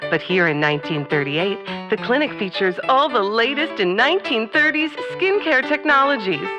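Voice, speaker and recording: Gushing, animated radio pitchwoman. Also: moderate pace, muffled recording, over music. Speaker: commercial spokeswoman. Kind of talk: 1930s radio ad read.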